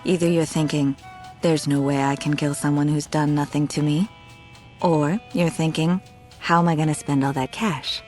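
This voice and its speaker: An actress playing a cool, seductive, amoral crime client in a video game, teasing and condescending. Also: female voice, sits low, steady tone, moderate pace, a little mellow, soft background music, natural voice. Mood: teasing, calculating. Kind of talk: video game cutscene acting.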